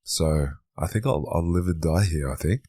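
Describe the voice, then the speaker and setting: Deep-voiced man in his thirties. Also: lively intonation, normal speed, warm tone, no echo, clean studio sound. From Australia. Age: 30s. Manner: easygoing, repeats words while thinking. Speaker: podcast guest, men's coach. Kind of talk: relaxed conversational interview.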